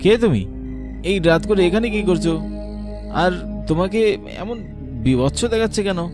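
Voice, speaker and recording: A man voicing a condescending, easily spooked investigating officer in a horror cartoon, with an expressive, dramatic delivery. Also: sits high, moderate pace, music in the background. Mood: puzzled, uneasy.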